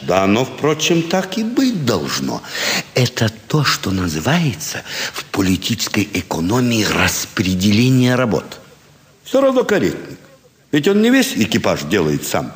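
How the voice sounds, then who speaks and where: An actor playing a sly, self-assured card sharper in a classic stage comedy, savouring his story with dramatic pauses. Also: lowish voice, wildly swooping pitch. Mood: matter-of-fact, knowing. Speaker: actor in an audio play. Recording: stage hall with long reverb.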